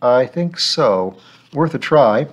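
A man voicing a cheerful, quick-witted adult male agent, slightly brighter than his narrating voice. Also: mid-range voice, lively intonation, voice pushed up. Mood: breezy. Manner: cheerful, breezy. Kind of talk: audiobook character voice.

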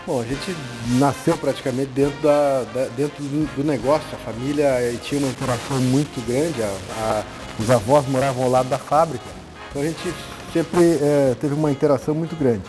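A man answering interview questions in a measured, hesitant way. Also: medium pitch, fairly even intonation, low-quality recording. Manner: measured, hesitant with many fillers. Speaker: guest. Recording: faint music under the voice.

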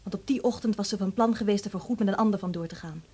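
A woman's voice: mid-range voice, quick pace, mellow, slightly rough, natural voice. An actress playing a reserved, guarded young woman in a Dutch radio drama, speaking reticently. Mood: confiding, grave.

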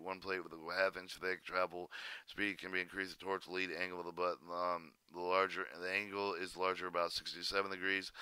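A man reading a technical manual aloud in a halting way, often stumbling and repeating words. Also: deep voice, bright, forceful tone, clean tone, no room sound. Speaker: channel narrator reading a training manual aloud.

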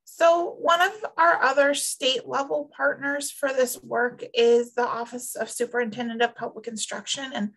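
A woman's voice: high voice, flat delivery, normal speed, creaky, few pauses, fairly bright tone, rough recording. A woman introducing herself as a performance coordinator, matter-of-fact, over a video call. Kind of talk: brief work introduction.

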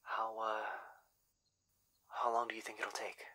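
A man playing an anxious, caring young boyfriend in an ASMR roleplay, speaking softly and hesitantly, often in whispers. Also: lowish voice, monotone, unhurried. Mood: worried, subdued. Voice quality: soft, breathy, often whispering. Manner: hesitant, gentle.

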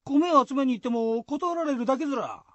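Resigned, curt village host, swooping intonation, rural dialect, dry radio drama sound. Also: female voice, sits high, lively intonation, a little fast, no room sound, dull audio. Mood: dismissive. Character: resigned villager hosting the gathering.

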